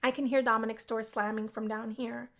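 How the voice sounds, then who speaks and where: Female game streamer, higher register, expressive narration of a visual novel. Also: brisk. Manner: expressive story reading with acted character voices. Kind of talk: reading a visual novel aloud.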